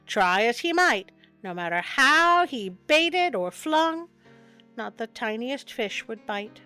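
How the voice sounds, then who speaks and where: A woman with a fairly high voice reads a storybook tale as the host of a show. She is playful and breaks away from the text for wry commentary. Soft music runs behind her. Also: expressive, takes it slowly, clean tone. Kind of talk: storybook reading with joking asides. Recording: music bed under the voice.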